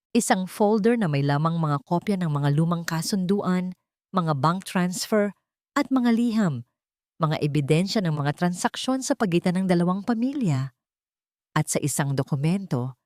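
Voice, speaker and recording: A woman's voice: deep voice, a little mellow, no echo, clean studio sound. A woman narrating a scripted love story in a suspenseful, theatrical way.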